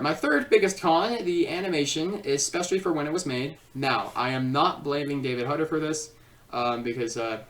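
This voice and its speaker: A film reviewer giving an informal critique in a halting way, often repeating and rephrasing, recorded at a distance from the microphone. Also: mid-range voice. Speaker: video reviewer and host. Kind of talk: informal scripted film review with ad-libbed asides.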